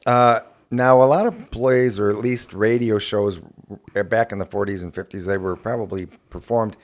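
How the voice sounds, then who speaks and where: A man's voice: lowish voice, fairly even intonation, moderate pace. A man co-hosting a podcast, chatting casually and putting questions to a guest. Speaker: podcast co-host. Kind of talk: casual chat.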